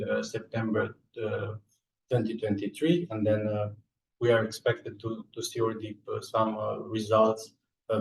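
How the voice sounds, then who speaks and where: A man with a Romanian accent presents research in a fairly low voice. His delivery is halting, broken by frequent filled pauses. The sound is clearly roomy, picked up at some distance from the microphone. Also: slight background noise. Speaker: research scientist and lab coordinator presenting. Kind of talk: scientific slide presentation. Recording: echoey room, distant microphone.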